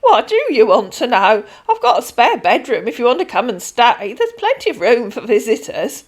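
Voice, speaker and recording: Brief: a guileless old lady in her seventies, put on by a woman reader; raised, lilting and bright, darling on every line. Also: on the high side, big pitch swings, normal speed, breathy edge. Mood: puzzled. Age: 70s. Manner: sweet, calls everyone darling, plain and literal. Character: chatty 76-year-old retired dinner lady, gentle and guileless. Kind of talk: read-aloud storytelling.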